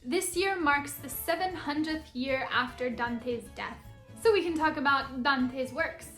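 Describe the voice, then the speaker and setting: An enthusiastic young woman from Philadelphia chats brightly to camera about her channel. Her voice sits high and rings around an echoey room. Soft music plays faintly underneath. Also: unhurried. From Philadelphia, United States. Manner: enthusiastic, bubbly, laughs often. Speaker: vlogger and Italian teacher. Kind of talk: upbeat vlog introduction to camera.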